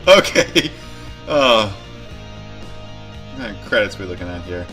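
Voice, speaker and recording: Bright-voiced man giving casual reaction commentary. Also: lowish voice, big pitch swings, slow pace, faint music behind. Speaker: reaction video host.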